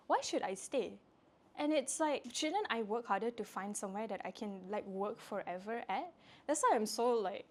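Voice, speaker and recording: A young woman in her late twenties hosting a casual talk show, asking questions and explaining herself in a lively, quick way. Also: mid-range voice. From Malaysia. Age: 20s. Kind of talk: casual chat.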